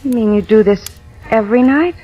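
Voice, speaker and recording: Actress as wry, high-voiced working girl. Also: brisk, warm tone, natural voice, low-quality recording. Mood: wary, hurt. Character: wry, tired young working woman, plucky and guarded. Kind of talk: 1940s-50s radio drama acting, casual banter. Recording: vintage radio drama, faint music.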